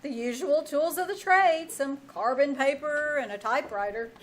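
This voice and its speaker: A woman playing a wry, quick-witted English teacher in an old-time radio comedy, with dry, sardonic delivery. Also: high-pitched, lightly acted. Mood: breezy, helpful. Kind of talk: old-time radio comedy acting before a live audience.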